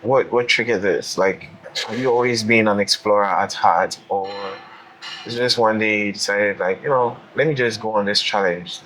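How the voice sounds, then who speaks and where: A man's voice: lowish voice, moderate pace, fairly bright tone, slight background noise, poor audio. A man interviewing a guest in a casual, conversational style as the host of a channel.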